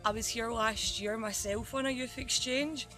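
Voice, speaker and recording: A woman's voice: a little high, steady tone, moderate pace. A woman in her twenties answering interview questions fluently and with enthusiasm. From Scotland. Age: 20s. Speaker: youth exchange participant. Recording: music playing behind.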